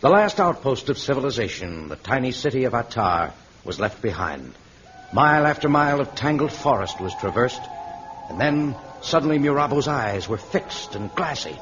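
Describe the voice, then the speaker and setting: A man's voice: medium pitch, very animated, normal speed, fairly bright tone, a little hiss or hum. A man narrating an old radio adventure serial as its announcer, in a dramatic scripted style. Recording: muffled vintage radio recording with music. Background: dramatic music.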